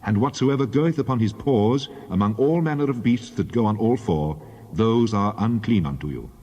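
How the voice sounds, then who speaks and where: A man narrating scripture as a Bible reader, solemn, deliberate and declamatory. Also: on the low side, lively intonation, darkish tone, highs cut off. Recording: long reverberant hall echo.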